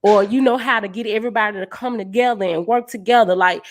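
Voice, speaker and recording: A woman with a deep voice, hosting a casual podcast chat and speaking in an earnest, encouraging way as a dance team leader. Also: fast talker.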